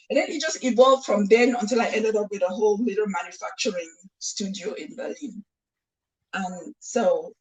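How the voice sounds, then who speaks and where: A woman's voice: mid-range voice, low-quality recording. A woman speaking as a guest designer on a talk show, in a casual, personal storytelling style.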